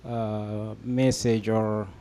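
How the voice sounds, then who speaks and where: A man's voice: fairly low pitch, little pitch movement, very slow, mellow, slight background noise. A young man from Ethiopia speaking on a discussion panel, hesitant and full of fillers as he explains his answer. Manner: hesitant, many fillers and restarts. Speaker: panel guest.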